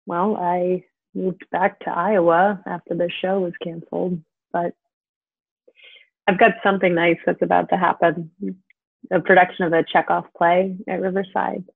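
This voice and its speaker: An actress playing a gentle, wistful woman, speaking softly and earnestly in a measured, intimate, naturalistic drama style. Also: on the low side, flat delivery, creaky, slightly rough, no echo. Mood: wry, gentle. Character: gentle, wistful actress talking to an old friend by phone. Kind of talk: intimate naturalistic audio drama acting and monologue. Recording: tinny, band-limited phone line.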